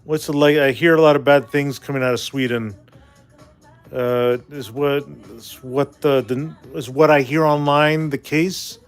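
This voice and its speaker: A man's voice: medium pitch, steady tone, unhurried, faint music behind. Forties male streamer from Canada; loose, rambling, blunt comment-reader. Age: 40s. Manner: rambling, reads viewer comments aloud, blunt. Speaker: livestream host. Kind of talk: casual livestream chat reading comments.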